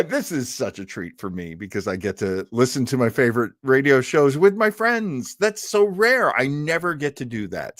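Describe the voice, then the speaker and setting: Older male host, mid-pitched, for casual, enthusiastic live chat that rambles; close, dry recording. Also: a bit bright, dry recording. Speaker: host of an old time radio show.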